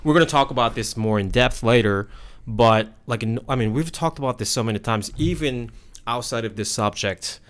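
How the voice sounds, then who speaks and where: A man's voice: medium pitch, moderate pace, hardly any pauses. Korean-born man musing on a podcast. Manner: thoughtful, explains with many fillers. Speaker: podcast co-host. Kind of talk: casual podcast conversation. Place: studio room. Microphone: studio mic on desk stand.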